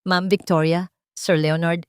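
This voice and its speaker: A woman voicing a hurried female nurse who speaks politely but quickly. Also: lowish voice, level tone, clear, resonant tone, no echo, studio quality, lightly acted.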